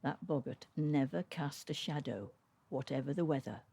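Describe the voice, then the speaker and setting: A woman reading a traditional folk tale aloud as a storyteller, with dramatic, deliberate phrasing. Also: low-pitched, dark tone, breathy edge, dry recording.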